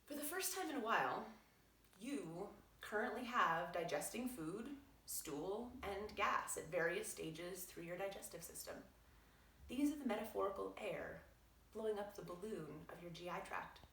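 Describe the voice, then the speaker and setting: A woman reading aloud as a doctor explaining things in a measured, articulate, explanatory way. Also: mid-range voice, animated, fairly bright tone. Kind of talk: read-aloud narration with brief asides. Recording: roomy echo, distant from mic.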